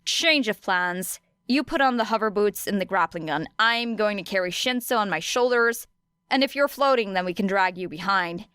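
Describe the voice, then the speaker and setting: A woman's voice: mid-range voice, expressive, moderate pace, sharp tone, clear, resonant tone, no echo, clean studio sound. A woman playing a tough, capable young girl in an audiobook, blunt, wry and matter-of-fact. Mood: decisive.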